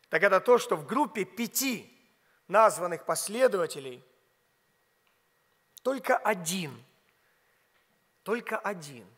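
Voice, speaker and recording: High-voiced preacher at a pulpit, long reverb. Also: male voice. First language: not Russian. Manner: emphatic preaching with rhetorical questions, drops to a hush on key words. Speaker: pastor. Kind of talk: sermon. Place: large church stage, behind a pulpit. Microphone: pulpit mic.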